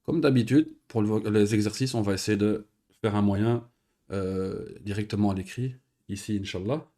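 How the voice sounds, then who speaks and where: A man teaching an Arabic lesson in French. He reads each Arabic phrase and then explains it patiently, with pauses and fillers. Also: lowish voice, dark tone. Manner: patient, repeats words slowly with pauses and fillers. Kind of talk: recorded online lesson.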